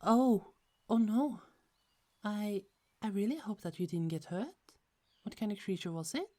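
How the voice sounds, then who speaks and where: A woman voicing a gentle young goddess who keeps golden apples, speaking in a soft, earnest way that hesitates a little. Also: mid-range voice, expressive, a little slow, close to an everyday voice. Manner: soft, earnest and a bit hesitant, with small stumbles. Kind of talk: ASMR audio roleplay.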